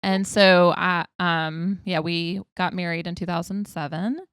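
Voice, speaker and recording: A woman, a homeschooling mother, chatting as a podcast guest in a warm, slightly shy way. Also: fairly low pitch, steady tone, unhurried, clean tone, no room sound, clean studio sound. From Georgia, US. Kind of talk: casual chat.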